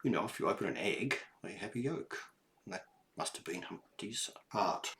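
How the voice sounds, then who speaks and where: An older man reading a children's story aloud, deliberate and emphatic, with explanatory asides to young listeners. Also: low voice, expressive, fairly bright tone.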